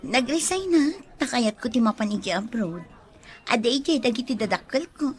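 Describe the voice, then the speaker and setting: A woman playing a bubbly, scheming young woman in an Ilocano radio drama, giggly and animated. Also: a little high, normal speed, natural voice. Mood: coy, teasing.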